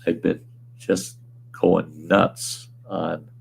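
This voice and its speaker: A man hosting a casual livestream from home, hoarse with a weakened voice, rambling in a laid-back way and chuckling often. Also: low voice, flat delivery, drawn-out words. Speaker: livestream host. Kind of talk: casual livestream chat reading viewer comments.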